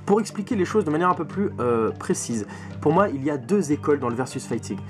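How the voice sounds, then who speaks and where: Emphatic young man with a higher-pitched voice. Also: lively intonation, fast talker, faint music behind. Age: 20s. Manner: opinionated, emphatic, repeats words and swears. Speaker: video game collector and reviewer. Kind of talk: casual vlog game review. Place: small bedroom at home, indoors.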